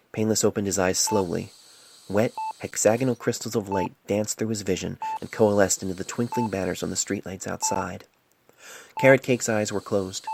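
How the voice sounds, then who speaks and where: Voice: deep voice, vocal fry, noisy background. An amateur narrator reading a story dramatically into a cell phone. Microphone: cell phone mic. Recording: amateur phone recording with music behind.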